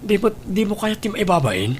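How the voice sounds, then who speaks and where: A guilt-ridden family man, played by an actor in an audio drama, with a slightly high voice and a northern Philippine accent. He is earnest and pleading, torn between home and mistress. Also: big pitch swings, moderate pace, slight background noise.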